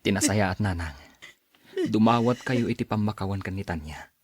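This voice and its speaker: A man playing a concerned adult son who gently admonishes his mother in a radio drama. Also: on the low side, normal speed, natural voice. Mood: gently admonishing. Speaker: drama actor.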